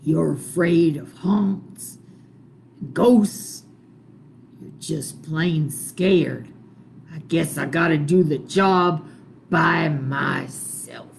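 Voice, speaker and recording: A woman's voice: fairly low pitch, expressive, extremely slow, vocal fry, pauses often, slight background noise, full character voice. A woman voicing a blustering old one-eyed male robber chief in a told folktale. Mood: scornful. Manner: blustering, boastful.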